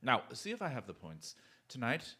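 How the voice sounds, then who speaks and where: A man's voice: mid-range voice, wildly swooping pitch, normal speed, bright, forceful tone, breathy edge, exaggerated voice. A man playing a shrewd, commanding detective in a radio drama, with a dryly ironic, clipped and theatrical manner. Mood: wry, deliberate. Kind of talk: stage-style radio drama acting.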